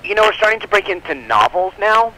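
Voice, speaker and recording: A man's voice: sits high, big pitch swings, quick pace, sharp tone, slight background noise, low-quality recording. A man chatting casually as an interview guest, explaining his business in an informal, rambling way, often rephrasing mid-sentence. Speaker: guest, comic book publisher.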